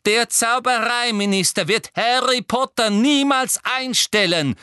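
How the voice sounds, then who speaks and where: A man plays a spiteful little female bureaucrat in an audiobook. The voice is very high for a man and swoops wildly, prissy and affected, full of cold indignation. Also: lively intonation, unhurried, fairly bright tone, no room sound, clean studio sound. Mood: loud, furious. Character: small, prissy, spiteful middle-aged female ministry official. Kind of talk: audiobook character acting.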